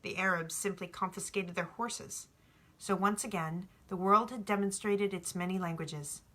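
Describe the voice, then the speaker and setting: A woman's voice: mid-range voice, fairly even intonation, moderate pace, fairly bright tone. A woman reading a novel aloud as storybook narration, in an informal way. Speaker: audiobook reader.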